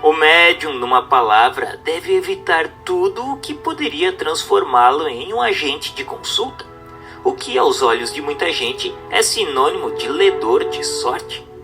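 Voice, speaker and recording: Male narrator, Brazilian accent, higher-pitched voice, formal book reading, didactic, soft music bed. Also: lively intonation, a little slow. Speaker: audiobook narrator.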